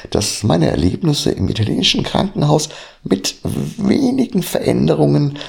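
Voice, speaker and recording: A man hosting a podcast and reading his own short horror story in a hushed, half-whispered narration, with a husky voice. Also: a little high. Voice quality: husky, gravelly. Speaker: podcast host.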